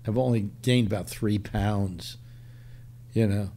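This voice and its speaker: Older man, rambling host, halting restarts, webcam on a home video call. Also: lowish voice, steady tone, mellow, slight background noise. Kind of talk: casual chat on a video call.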